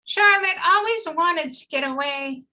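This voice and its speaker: A woman's voice: very high voice, a little slow, sharp tone, heavily acted. A woman at a table read playing a wistful, grieving aunt, on slightly dull audio.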